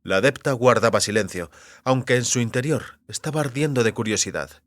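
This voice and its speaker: A man's voice: medium pitch, brisk, dry recording. A man narrating a fantasy audiobook in a scripted literary style.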